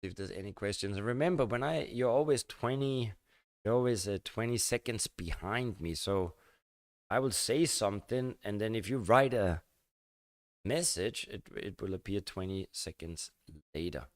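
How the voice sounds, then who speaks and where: An older man from Denmark, rambling and halting through a casual live-stream chat with his viewers. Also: on the low side, no echo, clean studio sound. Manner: halting and rambling, many fillers and restarts.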